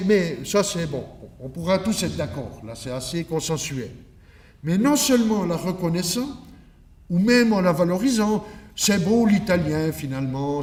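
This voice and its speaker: A male academic from Switzerland delivers a keynote lecture in a very echoey room, picked up from a bit of a distance. His voice sits a little high for a man. He teaches as he goes, stressing points and dropping in rhetorical asides. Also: a little mellow. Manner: didactic, emphatic, with rhetorical asides. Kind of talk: conference lecture.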